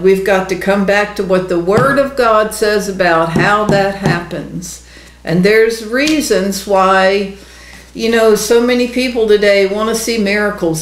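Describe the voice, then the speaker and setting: An older woman, in her sixties, speaks with a medium-pitched voice. She teaches scripture conversationally, stressing her points earnestly. The room adds a clear echo. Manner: earnest, emphatic. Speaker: ministry co-host, Bible teacher. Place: small indoor room. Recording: roomy, echoey indoor sound.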